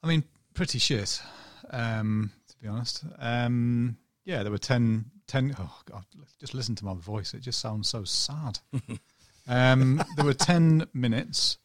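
A man who is a guest journalist, talking in a flat, monotone, self-deprecating way with many ums. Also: medium pitch, slow pace, dry recording, clean studio sound. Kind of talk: casual podcast chat.

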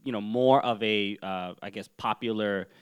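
Hesitant man with a smooth voice. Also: on the low side, moderate pace. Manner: hesitant, many restarts. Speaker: podcast co-host. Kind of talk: casual chat.

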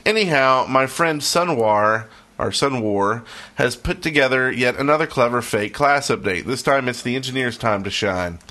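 A man's voice: medium pitch, moderate pace, bright, forceful tone. A man talking casually as a podcast host, sometimes reading bits aloud.

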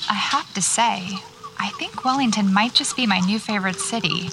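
A woman's voice: normal speed, natural voice. An actress playing a weary, bookish woman writer in a naturalistic audio drama, recorded as if on location. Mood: happy, absorbed. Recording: noisy location-style tape with rumble.

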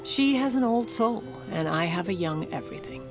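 A self-assured woman in her forties, a First Lady with a lowish voice and a dry, haughty wit. She narrates an audio drama in a deadpan way, over faint music. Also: normal speed. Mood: dry, aloof. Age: 40s.

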